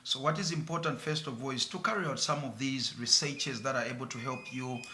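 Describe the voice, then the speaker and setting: A man's voice: mid-range voice, brisk, sharp tone, echoey room, a little hiss or hum. A man with a Zambian accent, a radio presenter answering interview questions earnestly with some hesitation. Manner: earnest, mixes in local phrases, some hesitation.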